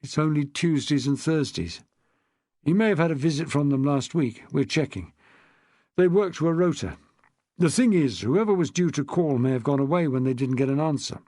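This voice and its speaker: A man's voice: a little high, animated, moderate pace, mellow, no room sound, studio quality. A man voicing a guarded middle-aged policeman who answers in terse, clipped lines. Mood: grim.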